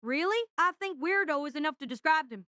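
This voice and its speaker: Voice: high voice, lively intonation, a little fast, bright, forceful tone, no echo, clean studio sound, pitched above the performer's own voice. A narrator voicing a young male alchemy student who chatters eagerly about his inventions. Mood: wry.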